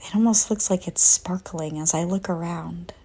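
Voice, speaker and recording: Female meditation narrator, slightly low and mellow, breathy near-whisper delivery, gentle, unhurried scripted read. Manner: calm, gentle, unhurried with long pauses. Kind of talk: slow guided visualization, soothing scripted narration.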